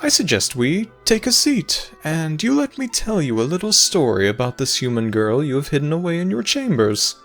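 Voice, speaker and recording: A man playing an urbane, theatrical storytelling god in an audio roleplay, speaking grandly and deliberately with dramatic pauses. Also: on the high side, big pitch swings, fairly bright tone, soft background music. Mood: sly, courtly.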